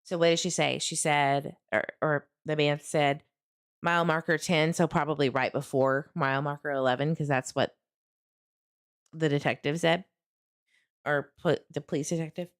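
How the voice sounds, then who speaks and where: A woman's voice: low-pitched, steady tone, normal speed, clean tone. A woman hosting a true crime livestream, commenting casually and earnestly as she talks directly to her viewers.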